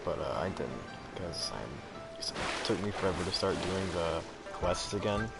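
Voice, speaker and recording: A man's voice: deep voice, expressive, normal speed, gravelly. A young man giving casual gameplay commentary, rambling and hesitant and often repeating himself, with game music behind him. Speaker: gaming video creator.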